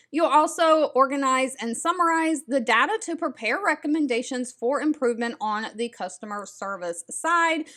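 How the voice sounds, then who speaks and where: A woman's voice: high-pitched, fairly bright tone. A woman hosting an informational vlog, speaking straight to viewers in an upbeat, encouraging way. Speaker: video host sharing remote job leads. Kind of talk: scripted-sounding informational vlog narration.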